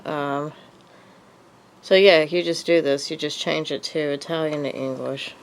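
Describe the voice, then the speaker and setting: A woman's voice: deep voice, steady tone, measured pace, a little hiss or hum, rough recording. A woman with a cold, sniffly and hesitant, talking casually in a show-and-tell vlog with lots of ums and uhs. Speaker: vlogger.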